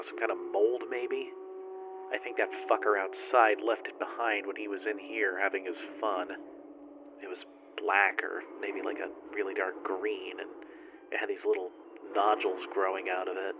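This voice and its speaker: A man playing a weary, bitter lone survivor, a spaceship flight engineer recording a log in an audio drama, brooding, halting and profane. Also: lowish voice, flat delivery, lightly acted. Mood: uneasy, disgusted. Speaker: solo roleplaying player-narrator. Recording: dull, noisy radio-log sound.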